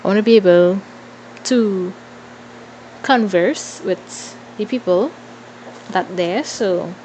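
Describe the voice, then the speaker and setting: Female vlogger, twenties, Trinidadian accent. Slow, informal Q&A chat with playful asides, some background noise. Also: mid-range voice, a little mellow, rough recording. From Trinidad and Tobago. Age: 20s. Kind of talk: casual Q&A chat to followers.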